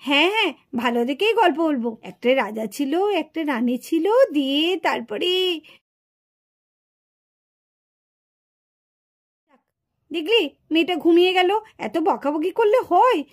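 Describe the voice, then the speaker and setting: A woman voicing a soothing, indulgent village aunt in a children's puppet cartoon, coaxing and gently chiding as she talks. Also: sits high, lively intonation, fairly quick.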